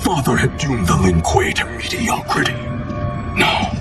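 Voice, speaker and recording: An actor playing a proud, cold ninja clan grandmaster in a video game, stern, commanding and contemptuous. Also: male voice, lowish voice, very animated, unhurried, recorded from a distance, over loud music. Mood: scornful, commanding. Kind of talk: video game cutscene acting.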